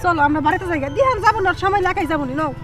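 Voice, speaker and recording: A woman's voice: extremely high pitch, animated, rapid-fire, distant mic, over music. A woman voicing a reluctant, insistent, nagging village wife in a Bengali cartoon.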